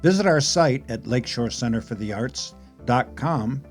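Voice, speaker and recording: A man's voice: medium pitch, lively intonation, normal speed, faint music behind. A man narrating an audio play, reading scripted stage directions.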